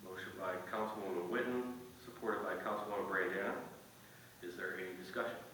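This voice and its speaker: A man's voice: low-pitched, fairly even intonation, brisk, fairly bright tone. A man speaking formally as chairman of a council meeting, running through procedure. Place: indoor council meeting room. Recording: echoey council chamber, distant mic, faint noise.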